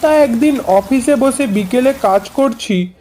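A man playing a grandfatherly retired forest officer who tells his ghost adventure in a measured, conversational way for a horror cartoon. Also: very high voice, dark tone. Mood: measured, suspenseful. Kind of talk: dramatised horror storytelling. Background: background music.

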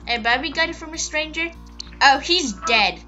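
A high-pitched woman improvises a silly grandma in a video game roleplay. Her talk is rambling and playful. The audio is slightly muffled, with game music loud underneath. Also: normal speed. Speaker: roleplay player voicing a grandma.

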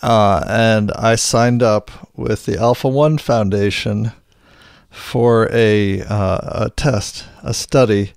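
Man, low voice, gravelly, dry close mic, rambling chatty co-host. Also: fairly even intonation, takes it slowly, a little mellow, no echo. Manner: rambling, many restarts and fillers. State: hoarse at times, clears throat. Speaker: podcast co-host. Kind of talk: casual chat.

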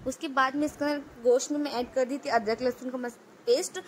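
A woman with a high voice narrates a home cooking tutorial. She talks through each step in an even, explanatory manner and repeats phrases often. A faint hum of noise sits behind her voice. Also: brisk. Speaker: cooking channel host.

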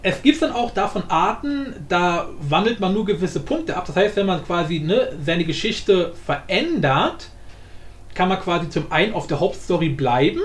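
A man giving an informal screencast tutorial, explaining haltingly, repeating words and often adding little tag questions. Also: sits high, a little hiss or hum. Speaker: tutorial host. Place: indoor room, screen-recording voice-over. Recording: roomy, echoing home recording.

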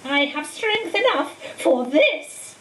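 A woman reading a book aloud, voicing a cold, hissing dark lord who speaks slowly and shrieks his commands. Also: high-pitched, sing-song, pitched above the performer's own voice, heavily acted. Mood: menacing. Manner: slow, menacing, shrieks commands. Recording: echoey room, distant mic, faint hiss.

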